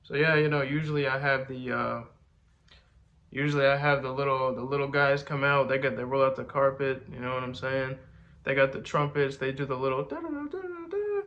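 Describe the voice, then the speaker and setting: A man's voice: medium pitch, lively intonation, fairly quick, highs cut off. A man with a congested voice, talking to camera in a laid-back, slangy, rambling way. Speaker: rapper and video host. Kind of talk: casual talk to camera.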